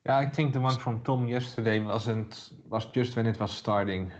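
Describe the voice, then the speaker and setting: A man's voice: mid-range voice, moderate pace, dull audio. A man chatting casually with friends. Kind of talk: casual chat.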